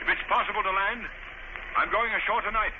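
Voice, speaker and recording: A man plays a decisive gentleman adventurer from a vintage radio serial. His composed, clipped voice sits high for a man, on a phone-like, noisy old recording. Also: fast, natural voice. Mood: calm, resolute. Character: resolute gentleman adventurer piloting a seaplane. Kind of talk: 1940s radio drama acting.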